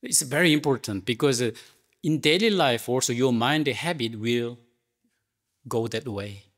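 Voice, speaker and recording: Slow, halting Zen teacher, man. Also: mid-range voice, animated, fairly bright tone. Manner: halting, many restarts and fillers, non-native English. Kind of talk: dharma talk answering a question. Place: meditation hall.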